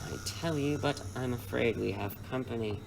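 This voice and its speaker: A man playing a menacing crime boss in an audio drama, with a mockingly polite, formal, unhurried manner. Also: mid-range voice, gravelly, slight background noise, close to an everyday voice, low-quality recording. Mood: smug, calm. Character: suave, menacing male crime boss interrogating captives.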